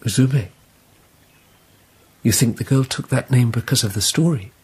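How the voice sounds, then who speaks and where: An actor playing a restless, earnest emperor in a radio drama, speaking formally and haltingly. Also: male voice, mid-range voice, darkish tone, breathy edge. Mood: thoughtful, formal. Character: restless young Japanese emperor, earnest and searching.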